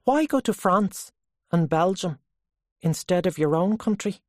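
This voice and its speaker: A narrator voicing a sharp, teasing young nationalist woman with a challenging, questioning manner, in an audiobook story. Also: mid-range voice, lively intonation, a little slow, no echo, clean studio sound, pitched above the performer's own voice, lightly acted.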